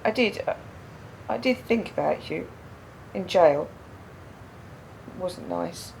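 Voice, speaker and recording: An actress playing a quiet, pensive woman burdened by doubt in a radio play, speaking in a reflective, halting way. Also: lowish voice, lively intonation, vocal fry, a little hiss or hum, heavily acted, rough recording. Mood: anguished, doubting. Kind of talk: radio play dialogue, naturalistic.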